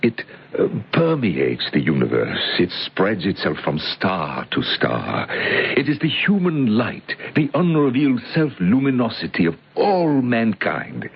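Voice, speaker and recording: A man's voice: fairly low pitch, wildly swooping pitch, unhurried, creaky, husky, full character voice. An actor playing an eccentric older professor in old-time radio drama, a pedantic, portentous zealot of occult science who hesitates as he lectures. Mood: fervent, grand. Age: middle-aged or older. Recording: dull lo-fi old radio recording.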